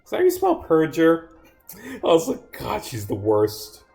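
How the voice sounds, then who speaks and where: A man giving live stream commentary as he playtests his own game, animated and given to muttered asides. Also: on the high side, a little slow, vocal fry, soft background music. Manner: animated, muttering asides and reading dialogue aloud.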